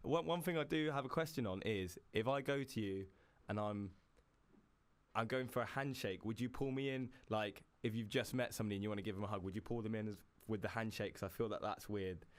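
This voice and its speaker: A young man with a British accent chats easily as a podcast co-host, laughing often. His voice sits fairly low. It is recorded close and dry, with no room sound. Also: fairly quick, dry recording. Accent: British English. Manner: laughs often, easygoing and chatty. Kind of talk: casual chat.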